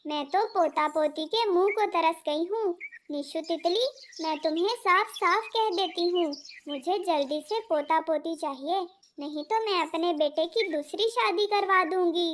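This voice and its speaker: A woman's voice: extremely high pitch, a little slow, a little hiss or hum. A woman voicing a blunt, scolding older butterfly mother-in-law in a children's cartoon. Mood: scolding, threatening. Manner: nagging, blunt. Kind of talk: Hindi children's cartoon dialogue.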